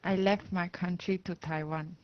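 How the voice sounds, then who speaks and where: A woman's voice: on the low side, fairly even intonation, normal speed. A young woman from Malaysia with a Malaysian or Singaporean accent, a student giving brief, hesitant answers in simple English. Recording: dull, muffled classroom recording.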